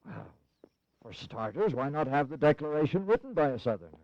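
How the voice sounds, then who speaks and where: An actor playing a shrewd, older revolutionary schemer in a historical audio drama, wry, teasing and persuasive. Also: male voice, on the high side, sing-song, fairly quick, a little mellow, dry recording. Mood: scheming, amused. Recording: dull, dry 1980s audio drama recording.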